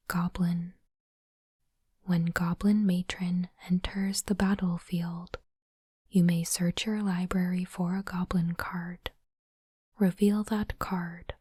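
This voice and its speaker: Woman whispering very slowly, ASMR style. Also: on the low side, dry recording, clean studio sound. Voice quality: breathy, unvoiced whisper. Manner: slow, deliberate, softly reading aloud. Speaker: host of an ASMR unboxing channel.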